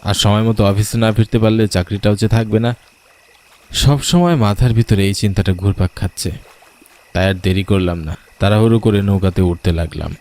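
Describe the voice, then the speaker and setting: A man's voice: lowish voice, normal speed, a little mellow. A man telling a scripted first-person horror story as a dramatic storyteller.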